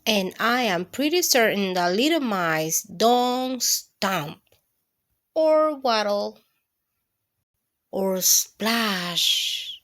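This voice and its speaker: A woman's voice: medium pitch, expressive, very slow, long pauses, a bit bright. A woman voicing a small child who insists she is big, strong and brave, with a boastful, childlike manner.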